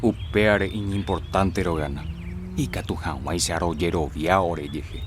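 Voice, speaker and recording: A man's voice: low voice, steady tone, normal speed, noisy background, close to an everyday voice. A man playing an earnest middle-aged Paraguayan farmer and father in a radio soap opera. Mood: insistent, persuading. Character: earnest father, farmer organizing fellow cane growers.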